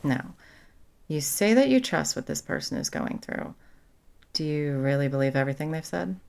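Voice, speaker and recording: A woman's voice: low voice, expressive, normal speed, gravelly, a little mellow. A young woman playing a caring, protective best friend in a conversational comfort roleplay, supportive and blunt. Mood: reassuring, matter-of-fact. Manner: casual, supportive, blunt, sweary.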